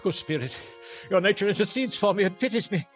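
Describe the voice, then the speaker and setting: A man's voice: sits high, lively intonation, fast talker, faint music behind. A man playing a fearful, repentant old miser in a classic radio play, earnest and pleading. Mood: desperate pleading.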